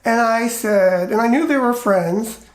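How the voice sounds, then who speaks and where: A middle-aged American man with a light, effeminate voice, telling personal stories casually in a rambling, animated way and acting out quoted dialogue. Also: very high-pitched, a little slow. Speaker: retired teacher. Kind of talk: casual personal storytelling and commentary. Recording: roomy, echoey indoor sound.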